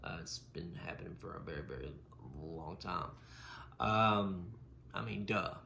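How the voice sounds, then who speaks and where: Stuttering man, gravelly and low. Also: measured pace, pushed and bright. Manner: stutters, repeating and breaking off sounds. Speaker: podcast co-host. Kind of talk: casual podcast chat. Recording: roomy echo with faint noise.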